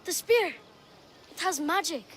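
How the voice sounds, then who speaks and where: A woman's voice: extremely high pitch, expressive, measured pace, a little hiss or hum. A woman voicing a brave, curious young warrior girl in a dramatic video game. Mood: awed, curious.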